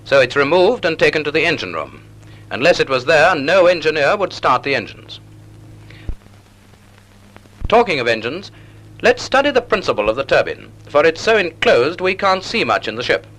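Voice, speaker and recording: Bright-voiced man, 1940s instructional narration. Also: on the high side, sing-song, normal speed, low-quality recording. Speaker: narrator of a naval instructional film. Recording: 1940s film soundtrack.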